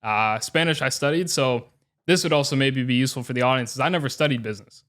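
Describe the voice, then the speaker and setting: A man's voice: medium pitch, fast talker, a bit bright. A man as a podcast guest in casual chat, speaking earnestly and reflectively, with the odd swear. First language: English.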